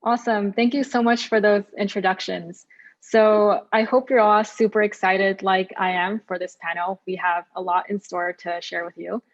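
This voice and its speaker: A woman's voice: medium pitch, steady tone, normal speed. An upbeat woman moderates an online panel in an enthusiastic, friendly tone. Her voice comes through a video call with slightly dull, lo-fi audio.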